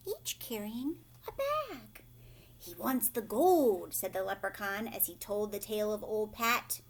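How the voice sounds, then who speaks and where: Animated, unhurried woman reading a storybook. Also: medium pitch, slightly rough. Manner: animated, dramatic, questioning, drops to hushed asides. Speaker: teacher reading aloud to young children. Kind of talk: expressive storybook read-aloud with asides.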